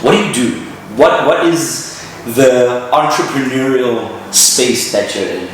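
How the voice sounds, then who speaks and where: A South African man hosts with loose, joking energy, stumbling into his questions and circling back. His voice sits at a middle pitch. He sounds set back from the mic in a strongly echoing room. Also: measured pace, a little hiss or hum. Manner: energetic, joking, repeats himself. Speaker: podcast co-host. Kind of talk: casual interview hosting. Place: event venue indoors. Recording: echoey room, distant from mic.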